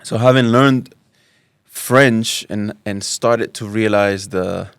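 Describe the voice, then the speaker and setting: A man's voice: fairly low pitch, slow, smooth, no room sound, clean studio sound. A man in his thirties from Spain, a podcast guest telling his life story in a thoughtful way, often restarting and mixing in Arabic phrases. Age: 30s. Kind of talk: relaxed personal storytelling.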